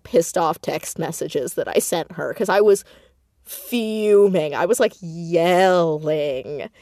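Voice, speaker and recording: Woman, lowish voice, unhurried pace, expressive casual storyteller, interview guest. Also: dry recording, studio quality. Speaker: audio drama creator and voice actor, interview guest. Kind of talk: casual, animated interview chat.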